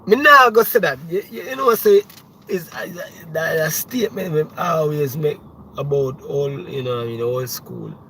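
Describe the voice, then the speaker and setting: A man's voice: a little high, moderate pace, creaky, sharp tone, slight background noise, low-quality recording. A young Jamaican man, a music producer, talking casually on a livestream, rambling with many restarts and "you know what I mean.".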